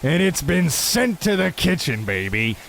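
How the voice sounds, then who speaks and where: Brash, high-ish mob goon. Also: male voice, very animated, measured pace, gravelly, fairly bright tone, slight background noise, full character voice. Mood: smug threat. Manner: brash, slangy tough talk. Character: loud wisecracking mob goon. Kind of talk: old-time radio play parody.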